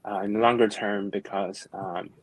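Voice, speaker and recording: A man's voice: fairly low pitch, fairly even intonation, normal speed, low-quality recording. A young man from China answering panel questions, hesitant with many fillers. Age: young adult. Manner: hesitant, many ums and uhs. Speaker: university student panelist. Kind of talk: panel answers on video call. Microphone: video call.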